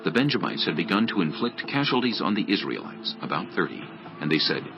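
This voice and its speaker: A man's voice: low-pitched, big pitch swings, a little fast, muffled recording. A man narrating scripture from a script in a dramatic audiobook style. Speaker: Bible narrator. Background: music.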